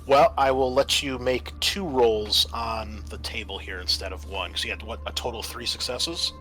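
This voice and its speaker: A man's voice: mid-range voice, moderate pace, pushed and bright. A man acting as game master, casually explaining tabletop game rules. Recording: clear background noise.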